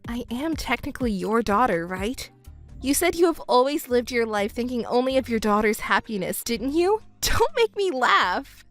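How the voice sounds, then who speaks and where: Woman doing a calm cold stepdaughter, low and measured, little music bed behind. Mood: dismissive. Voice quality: cool, measured, firm. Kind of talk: melodramatic audio drama acting.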